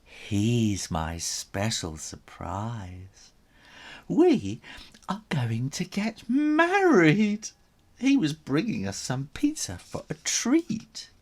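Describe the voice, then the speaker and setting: Man putting on an excitable aunt, wildly swooping. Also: mid-range voice, full character voice. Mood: gushing, proud. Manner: theatrical, drawn-out, swooping delivery. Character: excitable, doting aunt, adult woman, by turns scornful and gushing.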